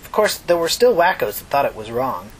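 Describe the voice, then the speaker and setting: Man voicing a high-pitched, folksy old woman. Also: very animated, brisk, a little hiss or hum, low-quality recording. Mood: matter-of-fact. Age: older. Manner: folksy, reflective, reassuring. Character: kindly, talkative old New York mother, resilient.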